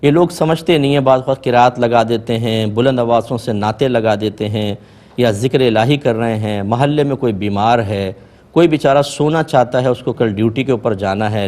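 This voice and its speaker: A man delivers religious guidance in the role of an Islamic scholar. His voice sits at a medium pitch, and he explains and admonishes as he goes, mixing in Arabic devotional phrases. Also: fairly quick, darkish tone. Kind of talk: religious advice talk.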